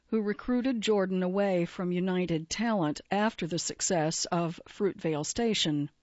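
Woman, audio article narrator, measured reading, dry close sound, slightly muffled. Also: mid-range voice, darkish tone, no echo. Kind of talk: scripted narration of a magazine feature.

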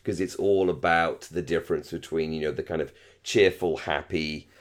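A man's voice: extremely low pitch, steady tone, normal speed. A British man in casual discussion as a podcast co-host, talking in a rambling, enthusiastic way with plenty of hedging. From Britain.

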